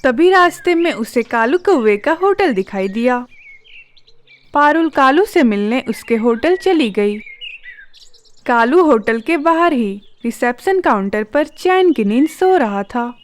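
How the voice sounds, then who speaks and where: A woman narrating a children's cartoon story in a calm, even manner. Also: sits high, measured pace.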